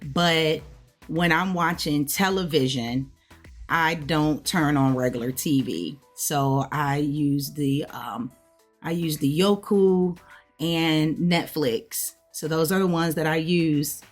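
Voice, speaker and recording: An American woman with a low voice speaks in a casual vlog style, addressing viewers directly. She explains things conversationally and often checks in with small tag words, over faint music. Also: slow. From United States. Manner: conversational, checks in with okay, right, all right. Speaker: language learner hosting a video channel.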